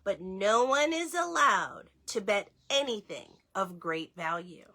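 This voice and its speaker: Bright-voiced woman reading aloud, unhurried. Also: medium pitch, lively intonation. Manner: slow, clearly phrased reading, addresses young listeners directly. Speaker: story time host reading aloud. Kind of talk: children's read-aloud with casual asides.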